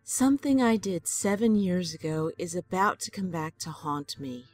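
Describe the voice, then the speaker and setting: An actress playing an elderly grandmother and wife, gentle and earnest, in an audio drama. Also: on the low side, lively intonation, normal speed, faint music behind. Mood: troubled. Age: older. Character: elderly grandmother, lonely and worried.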